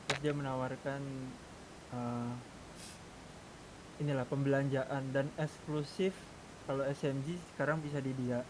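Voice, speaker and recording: A man's voice: level tone, unhurried, long pauses. A man in a casual roleplay gaming discussion, explaining things and asking the others for their views. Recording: clearly noisy game voice chat, low rumble.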